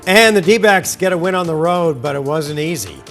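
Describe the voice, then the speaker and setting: Hoarse, animated male news anchor. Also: a little high, moderate pace, faint music behind. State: hoarse, losing his voice. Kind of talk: newscast reading.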